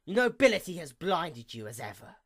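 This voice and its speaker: A woman voicing a bitter, envious dark goddess pony in a grandiose, brooding dramatic monologue. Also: sits low, big pitch swings, fairly quick, heavily acted. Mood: menacing, defiant. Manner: grandiose, brooding, theatrical, formal.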